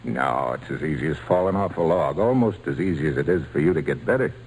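A gruff, kindly baseball slugger in an old radio play. The voice is low and husky, with lively swings of pitch. He talks plainly and folksily. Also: fast talker, full character voice, low-quality recording. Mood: kindly, encouraging. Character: big-hearted baseball slugger, gruff but kind to children. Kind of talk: old-time radio drama acting.

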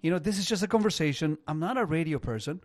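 Radio host guy in his 50s, english not his first language, kinda high voice, dry studio sound, stumbles over his words a lot. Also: fast, no echo. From not Canada. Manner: animated, repeats words when starting sentences. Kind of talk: casual radio talk.

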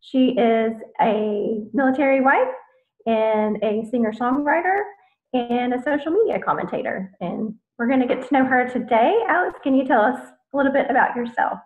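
A woman's voice: on the high side, moderate pace. A woman hosting a podcast interview in a casual way, using many fillers. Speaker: podcast host. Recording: echoey, phone-like lo-fi sound.

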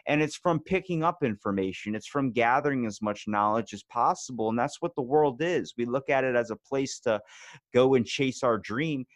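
A man talks as a podcast guest, blunt and fired-up, swearing freely in casual conversation. His voice sits at an ordinary middle pitch. The sound is close and dry, with no room echo.

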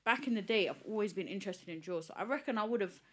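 A young woman from East London with a deep, husky voice and an East London accent, talking frankly as a podcast guest and swearing casually. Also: fast. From East London, England. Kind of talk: casual chat.

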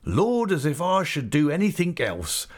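A man's voice: fairly high pitch, wildly swooping pitch, unhurried, pitched above the performer's own voice, full character voice. A man voicing a hearty old male fisherman who talks in a broad rustic dialect. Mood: jubilant.

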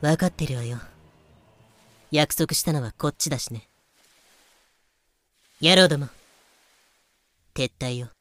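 A woman's voice: low-pitched, a little slow, pauses often. A woman playing a bloodthirsty yet composed female pirate captain in an audio drama, commanding and teasing. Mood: calm, commanding. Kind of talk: fan-made audio drama acting.

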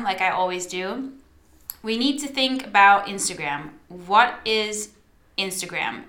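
A young woman from the Netherlands, a native Dutch speaker, hosts her solo podcast with a bright, clear tone. She chats loosely and directly to her listeners, with plenty of fillers. Her pitch sits in a middle range for a woman. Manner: chatty, addresses listeners directly, many fillers. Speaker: podcast host and social media coach. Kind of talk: casual solo podcast monologue.

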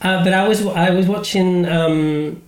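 A man with a British accent hosting a casual podcast interview, talking in a halting, self-deprecating way with many restarts. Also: high-pitched, steady tone, roomy sound, over a noisy crowd. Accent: British English. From Spain. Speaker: podcast host.

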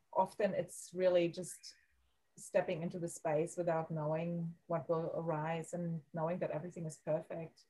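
A woman with a German accent speaks in a mellow, low voice with very little rise and fall. She talks slowly and hesitantly, often pausing to find her words as a podcast guest. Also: poor audio. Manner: soft, halting, searching for words. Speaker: guest, women's coach. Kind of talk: podcast interview.

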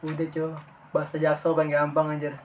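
Young man cohost, joking banter, slightly high voice, heard from a step back. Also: completely flat delivery, quick pace, distant mic, a little hiss or hum. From Central Java. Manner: joking, swears casually, laughs often. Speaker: podcast co-host. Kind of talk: casual banter.

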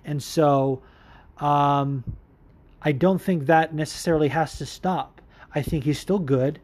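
A man hosts a casual podcast, talking loosely and bluntly with plenty of swearing and filler words. His voice sits a touch higher than most men's. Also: steady tone, slow pace, a little mellow. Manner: candid, profane, rambling with fillers. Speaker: podcast host. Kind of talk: casual MMA commentary, answering listener questions.

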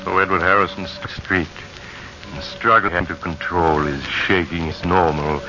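A man's voice: sits low, fairly even intonation, a little slow, heavy background noise. A man performing as the ominous narrator of an old radio crime drama. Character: ominous storyteller of a crime tale. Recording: dull old radio recording. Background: dramatic music.

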